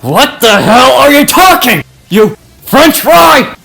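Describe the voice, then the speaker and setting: A woman voicing a hot-tempered teenage boy brawler who yells insults. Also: low voice, lively intonation, takes it slowly, creaky, husky, faint music behind, exaggerated voice, low-quality recording. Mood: furious. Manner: shouting insults.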